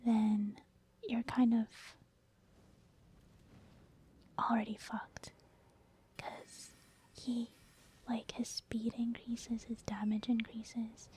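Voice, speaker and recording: A woman's voice: on the high side, mellow. A woman whispering casual, rambling ASMR commentary over gameplay in a conversational way. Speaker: gaming ASMR creator, commentator.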